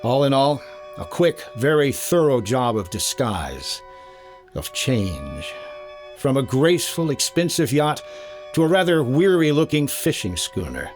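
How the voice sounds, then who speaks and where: A man's voice: mid-range voice, big pitch swings, measured pace, soft background music. A man playing a cool, tough investigator in 1950s radio detective style, clipped, dry and dramatic. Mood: tense narration. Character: cool, wry, tough male investigator and narrator.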